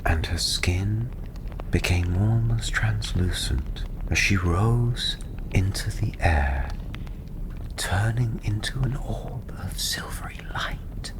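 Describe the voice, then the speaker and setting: A man softly narrating a fantasy story in ASMR style, breathy and often half-whispered, soothing and unhurried. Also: lowish voice. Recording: steady ambient background noise.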